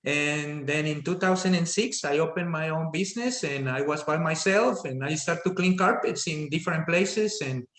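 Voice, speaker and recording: A man in his fifties from Colombia with a Colombian accent, telling his story as a podcast guest, halting with many fillers and restarts. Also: a little high, fairly bright tone, roomy sound. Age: 50s. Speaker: guest, business owner. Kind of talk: casual interview storytelling.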